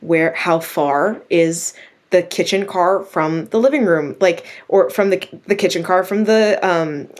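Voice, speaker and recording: A woman's voice: fairly low pitch, unhurried. A woman, the writer of a radio drama, talking casually in an interview and often laughing. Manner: chatty, laughing, acts out quoted exchanges. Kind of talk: interview conversation.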